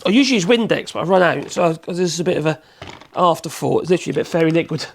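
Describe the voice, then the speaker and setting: A man with a cold, hoarse, chatting in a rambling way as he demonstrates in his workshop. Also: a little high, lively intonation, very fast. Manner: rambling, chatty, self-correcting, chuckles. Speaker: woodworking channel host.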